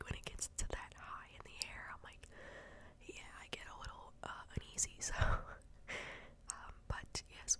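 A woman in her twenties whispering a rambling ASMR storytime, a little congested, with lots of ums and likes, close on a studio mic in a dark room. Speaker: ASMR creator. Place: dark bedroom, indoors.

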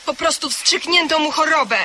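Earnest inspector, pointed, high voice, very bright, light hiss. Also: female voice, moderate pace. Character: earnest, bitter young female welfare inspector. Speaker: welfare inspector. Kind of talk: 1970s radio drama acting.

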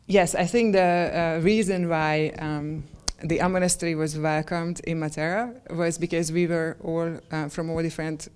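Woman from Hungary, 40s, lowish smooth voice, halting with ums, handheld mic in a stone room. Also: level tone, a little mellow. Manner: hesitant, many ums and restarts. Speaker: interviewee, project member. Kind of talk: on-the-street interview answers. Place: stone-walled room, indoors. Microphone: handheld interview mic.

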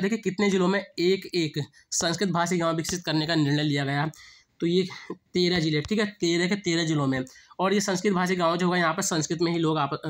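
A young man with a sore throat explains quiz answers over slides, asking a question and then repeating the answer. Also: fairly high pitch. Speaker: exam prep teacher. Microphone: screen-recording voice-over.

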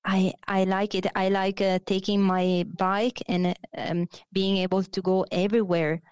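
A slow, unhurried Italian woman in her thirties, speaking over a headset on a video call. Her voice is lowish and smooth, and the sound is close and dry. She pauses often and restarts her sentences, with plenty of fillers. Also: level tone, darkish tone, no echo. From Italy, Naples. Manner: hesitant, many fillers and restarts. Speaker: guest, architect. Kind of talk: casual interview. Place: bright office.

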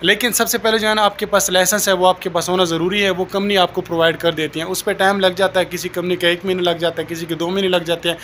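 A man's voice: high voice, flat delivery, quick pace, few pauses, a bit bright. A young man from South Asia explaining things informally to camera as a vlogger, speaking into a phone held at arm's length. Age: young adult. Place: open rooftop outdoors in daylight. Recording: outdoor ambient noise.

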